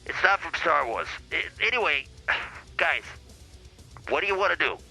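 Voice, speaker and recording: A man's voice: a little high, expressive, normal speed, gravelly, sharp tone, faint music behind, heavily acted, rough recording. A man playing a brash, boozy, foul-mouthed divorce lawyer in an improvised comedy podcast sketch, pompous and blustering. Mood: impatient.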